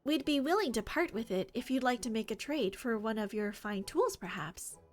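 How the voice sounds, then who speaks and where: Girl acting out eager fantasy trader sidekick from a game, high polite voice. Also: expressive, normal speed, natural voice. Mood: friendly, coaxing. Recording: music behind the voice.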